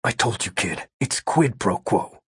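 A man voicing a gruff, exasperated male government agent, growling and terse, weary and irritated, in a comic story. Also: on the low side, expressive, measured pace, dry recording, clean studio sound, exaggerated voice.

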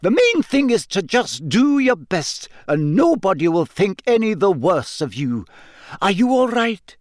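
A man voicing an anxious older female professor in an audiobook, brisk and urgent with a shaky edge. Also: high voice, sing-song, no echo, clean studio sound, pitched above the performer's own voice.

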